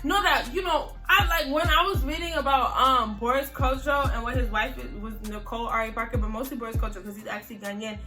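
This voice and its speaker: A young American woman hosting a casual chat, animated and emphatic, often repeating her phrases. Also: fairly high pitch, quick pace, hardly any pauses, over music. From United States. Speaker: host.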